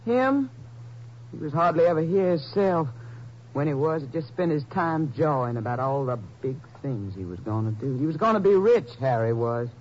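An actress playing a bitter, worn-down rancher's wife in a 1950s radio drama, curt and resentful in manner. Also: female voice, low-pitched, moderate pace. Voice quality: flat, clipped, resentful. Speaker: rustler's widow. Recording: muffled, hissy old radio broadcast.

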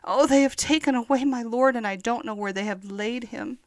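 A woman's voice: fairly high pitch, normal speed, no room sound, studio quality, voice pushed up, lightly acted. An older woman voicing a grieving young woman disciple in a Bible story for children, speaking in a pleading, emotional way.

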